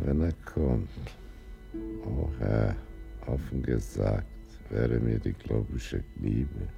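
A weary old man in a radio drama, with an extremely low, heavily creaking voice. He speaks slowly in florid, mock-pious laments, full of timid self-mockery. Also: dark tone, full character voice. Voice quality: very deep, gravelly. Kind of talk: radio play acting.

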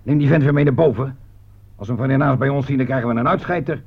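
A gruff army sergeant at the front for a radio play. His voice is gravelly and commanding, at a medium pitch, on a dull old recording with little treble. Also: quick pace. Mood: brusque. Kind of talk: Dutch radio drama acting.

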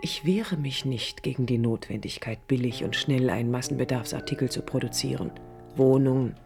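A woman's voice: a bass voice, normal speed, creaky, music in the background. An actress playing an idealistic, stubborn young architect in a radio drama, thoughtful, intimate and earnest in her delivery. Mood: reflective, determined. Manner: intimate, thoughtful, earnest, at times dropping to near whisper. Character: idealistic young female architect, reflective yet stubborn and defiant. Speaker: lead actor and first-person narrator of a radio play. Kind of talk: 1980s East German radio drama acting, introspective monologue.

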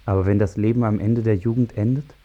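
An actor playing a sombre, poetic narrator in an experimental radio play. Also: male voice, lowish voice, steady tone, quick pace, lo-fi sound, lightly acted. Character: narrator of a young man's short life, sombre and poetic.

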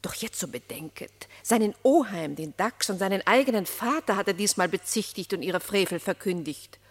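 A woman's voice: medium pitch, animated, normal speed, breathy edge. A gracious, persuasive queen of the beasts declaims in radio-play verse. Her stately voice swoops expressively and rings with long reverb. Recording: reverberant studio sound.

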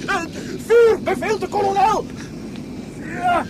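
Very high, panicky stammering underling, clamorous noise. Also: male voice, slow pace. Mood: panicked. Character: nervous, stammering male underling of a space colonel. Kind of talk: Dutch science-fiction radio play acting. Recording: very noisy radio drama, clamour behind.

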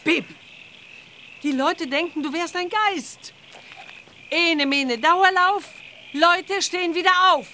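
A woman's voice: extremely high pitch, slow pace, pauses often, sharp tone, a little hiss or hum, heavily acted. A woman playing a protective, stern witch mother in a children's audio drama. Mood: scolding.

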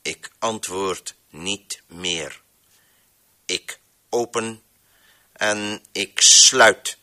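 An actor playing a defiant, embittered man who controls the voices in a radio play, speaking in an emphatic, measured monologue. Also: fairly low pitch, bright, forceful tone, full character voice. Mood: bitter, resigned. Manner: emphatic, measured, swinging between proclamation and muttering.

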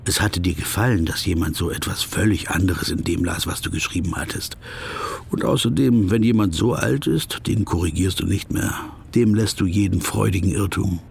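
An actor playing a disgruntled, pedantic middle-aged business traveller who muses to himself in an introspective radio drama. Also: male voice, sits low, normal speed, creaky, slight background noise. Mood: brooding, musing. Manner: musing, pedantic, talking to himself.

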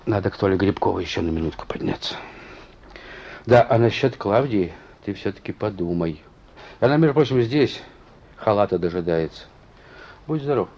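Deep, rough-edged stern police chief. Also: male voice, lively intonation, normal speed, pauses often, a little mellow. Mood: fatherly. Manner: firm, colloquial, admonishing. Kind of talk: Russian radio play acting. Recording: dull, muffled radio play recording.

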